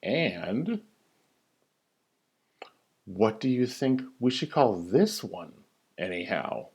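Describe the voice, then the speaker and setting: Male storybook reader, slow and deliberate, highly animated, theatrical singsong emphasis on the rhymes. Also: vocal fry, husky. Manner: theatrical, singsong rhyming delivery with drawn-out emphasis. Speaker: teacher reading a story aloud. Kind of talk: expressive children's picture-book read-aloud.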